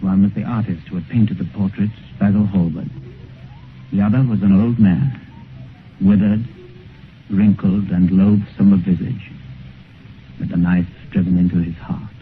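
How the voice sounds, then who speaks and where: A man as the grave storyteller of a Gothic tale, narrating solemnly and deliberately in 1950s radio style. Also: low-pitched, fairly even intonation, close to an everyday voice. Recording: noisy, muffled 1950s radio recording.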